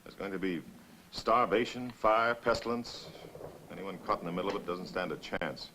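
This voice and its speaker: Blunt, pragmatic survivor, very low voice, vintage film drama, slightly noisy track. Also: male voice, normal speed, bright, forceful tone. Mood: grim, insistent. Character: blunt, pragmatic male survivor urging escape. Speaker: film actor. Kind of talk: 1960s film drama acting. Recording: noisy old 1960s film soundtrack.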